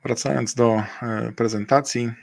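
A man's voice: mid-range voice, creaky, a bit bright, dry recording, low-quality recording. A middle-aged man giving a webinar presentation over a video call. He talks in a hesitant way, with many fillers and self-corrections. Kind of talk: screen-share webinar presentation. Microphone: webcam and computer mic on a video call.

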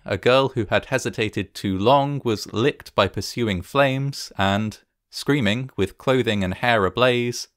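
A man with a fairly low voice narrates a documentary from a script. His delivery is measured, formal and solemn. The sound is dry and close, with little room in it. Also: no echo.

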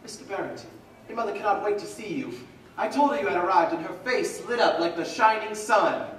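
A stiff stagey butler. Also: male voice, sits high, lively intonation, fairly quick, a little hiss or hum. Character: eager male actor playing a stiff butler. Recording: echoey stage from far off.

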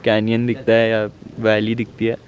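A young man with an Indian accent narrating a casual travel vlog, chatty and excited, mixing English words into his talk. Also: lowish voice, moderate pace, dull audio, slight background noise. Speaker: travel vlogger. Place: mountain cable cars and summit restaurant, busy with people. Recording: loud crowd chatter around.

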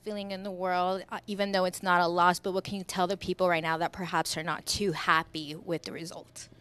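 A woman interpreting a player's answers into English at a press conference, in steady plain summaries. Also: lowish voice, moderate pace, clean tone.

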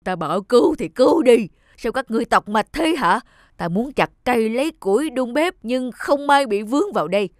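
A woman voicing a grumpy, thieving male dwarf in an animated fairy tale, with a rude, impatient manner. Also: a little high, brisk, dry recording, clean studio sound, lightly acted. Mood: irritated, scolding. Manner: rude, impatient, snappish, cowardly when threatened. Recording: music under the voice.

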